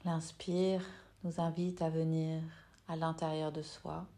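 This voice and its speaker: A woman yoga nidra teacher leading a guided meditation in a soft, soothing, unhurried way with long pauses. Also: low voice, level tone.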